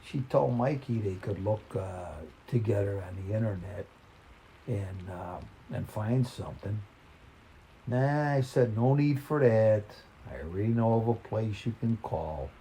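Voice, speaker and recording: A man reading a folksy first-person story in a halting, conversational way. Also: lowish voice, animated, warm tone, slight background noise.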